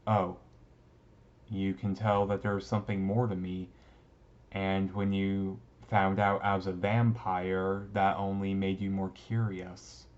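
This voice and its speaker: A man playing a shy, young-looking goth vampire classmate in a romantic roleplay, speaking hesitantly and casually to the listener with gentle teasing. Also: sits low, flat delivery, slow, dull audio. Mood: touched, bashful. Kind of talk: romantic roleplay audio drama, talking to listener.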